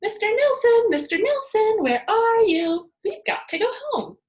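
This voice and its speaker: Woman as exuberant young storybook girl, mischievous and cheeky, very animated delivery. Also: very high-pitched, a little slow, pitched above the performer's own voice, heavily acted. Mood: loud calling. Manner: playful, cheeky, argumentative. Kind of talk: children's storybook character voice.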